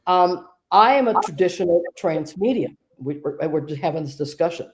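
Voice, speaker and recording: A woman hosting a conversation, a medium telling stories in a relaxed, discursive way. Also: lowish voice, moderate pace. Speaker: host and trance medium. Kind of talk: casual conversation.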